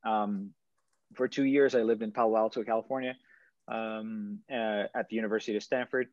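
A man in his thirties from Brazil presents over a video call, his voice sitting low in pitch. The sound is dry and muffled, like plain webcam audio. He hesitates often, filling gaps with ums and restarting phrases. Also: steady tone, normal speed, darkish tone, dry recording. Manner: hesitant, many fillers and restarts. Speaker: startup founder. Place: indoors at home, stone wall behind.

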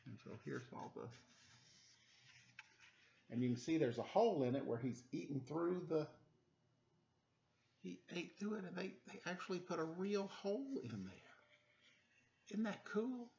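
A man in his forties doing a casual story time, chatting and reading aloud in a playful, expressive way. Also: a little high, a little slow, vocal fry, pauses often, slight background noise. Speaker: storyteller reading a picture book to children. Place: living room at home. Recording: dull, lo-fi webcam sound.

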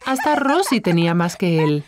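A woman's voice: on the low side, very animated, moderate pace, darkish tone, a little hiss or hum. A woman narrating a scripted children's cartoon story for young listeners.

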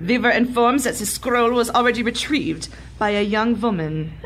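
A woman's voice: a little high, moderate pace, slight background noise, natural voice. An actress playing an imperious, coldly scornful female Nazi agent in an audio drama, commanding and formal in speech. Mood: stern, accusing. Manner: haughty, commanding, formal phrasing.

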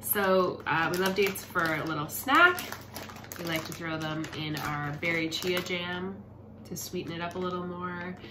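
Woman, 30s, slightly low voice, casual family vlog host; echoey room, noisy background. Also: normal speed. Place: indoor room at home.

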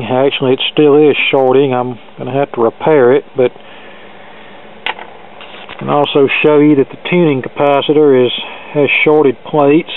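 A man's voice: medium pitch, a little hiss or hum. A man giving an informal, matter-of-fact hands-on repair demonstration, explaining as he goes. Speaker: hobbyist radio repairer, presenter. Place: indoor cluttered electronics workbench. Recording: narrow phone-like sound.

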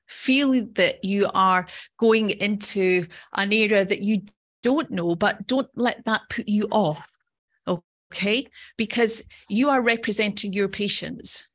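A middle-aged Scottish woman speaks to a conference as a nursing professor. Her pitch sits in the usual range for a woman. She talks earnestly and conversationally, posing rhetorical questions as she presents. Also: a bit bright. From Scotland.

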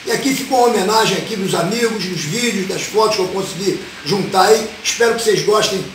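A man's voice: high voice, fast talker, reverberant, distant mic, noisy background. A Brazilian man in his fifties hosting his channel, speaking to camera and introducing a tribute video. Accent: Brazilian Portuguese. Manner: speaks straight to camera, rambling and repeating himself. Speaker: channel host.

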